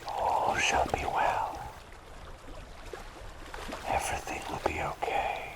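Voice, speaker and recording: A man playing a gentle, doting plague doctor in an ASMR roleplay, speaking in soothing, slightly formal, breathy whispers. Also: slow pace, natural voice. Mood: soothing, lulling. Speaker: ASMR performer.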